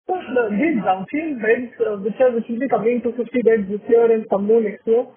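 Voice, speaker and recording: A man's voice: very high-pitched, a little fast. A man with an Indian accent politely asking questions as an analyst on an earnings call. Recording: thin phone line.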